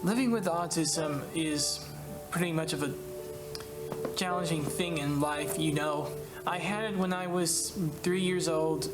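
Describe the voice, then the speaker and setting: A man in his late twenties answering an interview in a deliberate, careful way. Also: on the high side, poor audio. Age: 20s. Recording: music playing behind.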